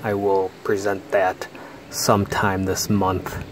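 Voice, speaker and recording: A man with a low, even voice gives a relaxed walking-tour commentary as he films inside a large, mostly empty mall. He speaks in a flat, unhurried way and pauses as he goes. A faint hum of indoor ambience sits behind him. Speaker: mall explorer vlogger.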